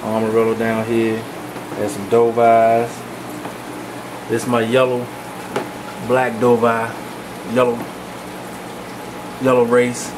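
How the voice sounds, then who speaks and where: Relaxed man narrating over fan hum. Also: mid-range voice, slow, long pauses. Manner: relaxed, rambling, with many fillers. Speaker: fish breeder giving a tour. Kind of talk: casual walk-through narration. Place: home aquarium room with running fans. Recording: camcorder audio with fan hum.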